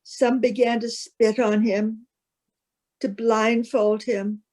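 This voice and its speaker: A woman narrating a solemn Bible reading as a scripted piece, with measured, careful phrasing. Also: a little high, level tone, a little mellow.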